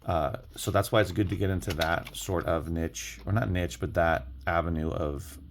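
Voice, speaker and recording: A man's voice: low voice, fairly even intonation, moderate pace. A man talking casually as an online reseller sharing tips, rambling a little and often rephrasing himself. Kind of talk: casual reselling vlog talk. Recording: clearly audible background noise.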